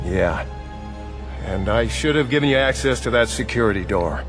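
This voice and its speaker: A man's voice: mid-range voice, lively intonation, moderate pace, natural voice. An actor playing a dry, principled, plain-spoken male police officer in a video game. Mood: grudging. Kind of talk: cinematic video game acting. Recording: music behind the voice.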